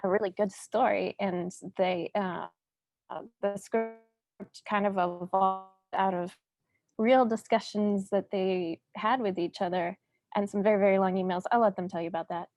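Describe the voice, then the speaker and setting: A woman answering interview questions as a filmmaker, conversational and measured, pausing between short phrases. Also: mid-range voice, fairly even intonation, darkish tone, dry recording, faint music behind.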